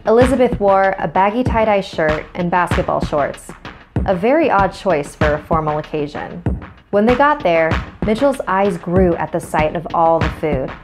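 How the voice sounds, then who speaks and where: A woman's voice: fairly low pitch, expressive, moderate pace, over music. A woman hosting a scary story series, reading scripted horror narration.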